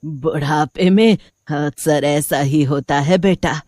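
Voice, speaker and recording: A woman voicing a sinister old village granny who is secretly a witch in a horror cartoon, slow and menacing. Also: low-pitched, a little mellow, no echo, clean studio sound. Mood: sly, sinister. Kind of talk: Hindi horror cartoon voice acting.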